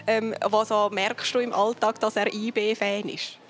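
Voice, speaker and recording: A woman's voice: medium pitch, flat delivery, unhurried. A woman with a Swiss German accent hosting a children's show in a chatty interviewing style. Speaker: host of a children's surprise show. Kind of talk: chatty presenting and interviewing for kids.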